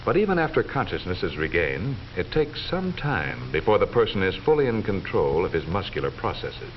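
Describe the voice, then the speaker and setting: A middle-aged American man giving formal, measured, authoritative scripted narration in the manner of a 1940s educational film. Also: low voice, sing-song. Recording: dull vintage 1940s film soundtrack, faint noise.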